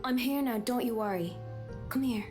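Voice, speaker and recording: A woman's voice: fairly high pitch, moderate pace, close to an everyday voice. An actress playing a clinging dead young woman, coaxing and possessive toward her lover in a visual novel game. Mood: tender, possessive. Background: game music.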